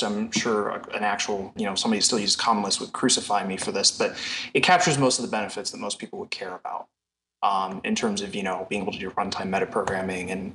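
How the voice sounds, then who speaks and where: A man with a fairly low voice talks as an interview guest. He explains things at length in a casual, storytelling way. There is faint noise behind his voice. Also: a bit bright, low-quality recording. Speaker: podcast guest, programmer. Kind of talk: casual technical interview talk.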